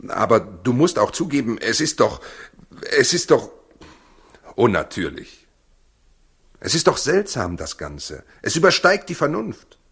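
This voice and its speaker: An actor in a 1960s German radio play as a proud working husband torn by doubt, husky, weary and defensive. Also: male voice, mid-range voice, sing-song, unhurried, pauses often, a bit bright, heavily acted. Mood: troubled, agitated.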